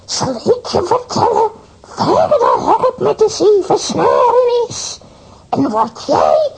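A tiny, sly old witch with an extremely high, shrill voice that swoops up and down. She wheedles and flatters theatrically, drawing her words out very slowly in children's audio drama style. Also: full character voice. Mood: flattering, wheedling. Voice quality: shrill, creaky. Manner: slow, drawn-out, theatrical wheedling.

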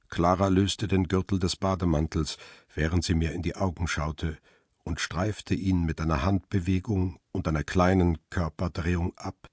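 Deep, husky, brooding narrator man. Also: dry recording, clean studio sound. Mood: hesitant, intimate. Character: middle-aged male paparazzo narrator, brooding and longing. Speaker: narrator and lead character. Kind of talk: radio drama, literary first-person narration.

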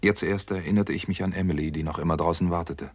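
Terse, low-voiced doctor turned sleuth. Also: male voice, fairly even intonation, quick pace, husky. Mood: grave, matter-of-fact. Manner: terse, matter-of-fact, clipped sentences. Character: hard-boiled doctor turned sleuth, narrating his case, a man. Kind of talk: 1960s German radio crime drama narration and dialogue.

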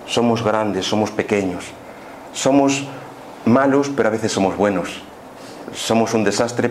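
A man's voice: lowish voice, slight background noise. A man with an accent from Spain gives an informal explanatory lecture, speaking didactically with rhetorical questions and little check-in tags. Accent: Spanish, from Spain. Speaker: lecturer on spiritism.

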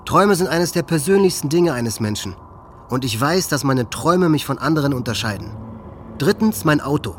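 A man's voice: a little high, slight background noise, lightly acted. A man playing a proud, troubled teenage boy who recites his own writings in a declamatory way in a radio drama. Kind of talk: dramatised reading in a radio docudrama.

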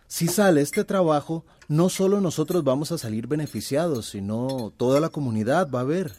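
An actor playing an earnest, hopeful young student son in a radio drama. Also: male voice, on the high side, expressive, moderate pace, darkish tone, slight background noise, close to an everyday voice. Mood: cagey, hopeful. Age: young adult.

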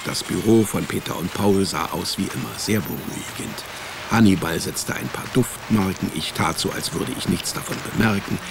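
A man's voice: low-pitched, normal speed, creaky, background noise. An actor playing a dry, laconic male private detective narrating his own case in a radio drama. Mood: wry. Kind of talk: scripted first-person radio drama narration.

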